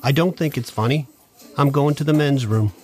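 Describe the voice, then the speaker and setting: An actor playing a meek, loyal assistant and driver in a scripted comedy podcast, with an earnest, mild manner. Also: male voice, mid-range voice, normal speed, darkish tone, soft background music, lightly acted. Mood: concerned. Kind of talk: scripted audio comedy acting.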